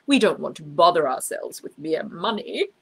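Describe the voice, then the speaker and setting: Storybook manager, male, imposing and oily, effusive delivery with big pitch swings and a slightly husky edge female reader. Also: big pitch swings, normal speed, a bit bright, no echo, exaggerated voice. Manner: booming, unctuous, emphatic. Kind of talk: children's storybook read aloud.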